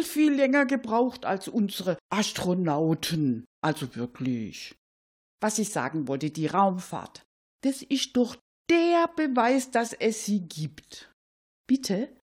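A woman's voice: mid-range voice, darkish tone, husky, full character voice. A woman playing a gossipy, opinionated Swabian cleaning lady in a comic radio monologue, folksy and mock-naive. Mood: opinionated, chatty. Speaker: cleaning lady character in a satirical radio show.

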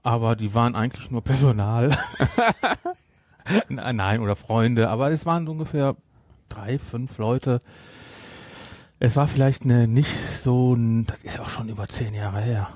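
A man's voice: medium pitch, unhurried, darkish tone, no room sound. A man hosting a podcast in a casual, rambling chat, often interrupting himself. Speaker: podcast host.

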